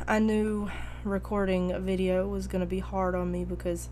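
A young woman with a scratchy, hoarse voice from a cold, chatting casually and slowly to spare her throat as she hosts her own gaming video. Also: medium pitch, level tone. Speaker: gaming vlogger. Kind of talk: casual chat over a speed build. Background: soft background music.

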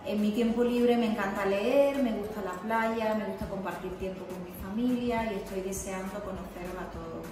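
A woman's voice: mid-range voice, moderate pace, few pauses. Middle-aged woman, echoey room. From Spain. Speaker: school director. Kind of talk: self-introduction to camera. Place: indoor school lounge, echoey. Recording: echoey room, distant mic, background music.

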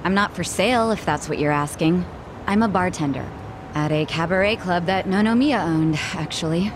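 A woman's voice: lowish voice, moderate pace, background noise, natural voice. An actress playing a blunt, sarcastic young woman bartender in a video game. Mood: frank. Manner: brusque, sarcastic. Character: blunt, prickly young female cabaret bartender. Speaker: voice actor in a game. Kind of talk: English video game cutscene dub. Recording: music behind the voice.

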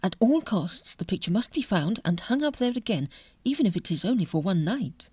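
An actress playing an intense young Edwardian lady clairvoyant in an audio drama, formal and dramatic, with a husky voice. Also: mid-range voice, sing-song, fairly quick, mellow. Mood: resolute. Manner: formal, dramatic, hesitant when recalling.

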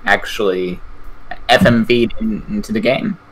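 A man's voice: fairly low pitch, unhurried, over a noisy crowd, low-quality recording. A man chatting casually as a panelist on a podcast. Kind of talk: casual chat.

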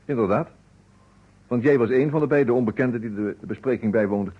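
An actor in an old Dutch radio play playing a shrewd detective who lays out his case in a measured, commanding way. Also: medium pitch, breathy edge, close to an everyday voice. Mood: stern, deliberate. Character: shrewd male amateur detective laying out a murder case. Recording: dull old radio recording.

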